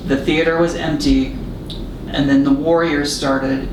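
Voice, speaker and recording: A middle-aged man from Oklahoma chats casually as a guest. His voice is fairly high for a man and comes from back off the mic, with room echo and clear background noise around it. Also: little pitch movement, unhurried, distant mic. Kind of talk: casual chat. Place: indoor radio studio.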